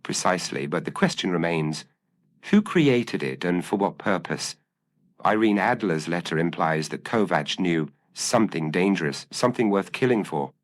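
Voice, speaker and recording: A man's voice: deep voice, lively intonation, normal speed, no echo. A man voicing a brilliant, intense Victorian detective in an audiobook, speaking in a precise, commanding and formal way. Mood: urgent.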